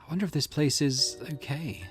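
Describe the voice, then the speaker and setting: A man reading video game dialogue aloud in an expressive way, with the occasional small stumble. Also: a little high, fairly quick, faint music behind. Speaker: gaming channel host reading a visual novel aloud.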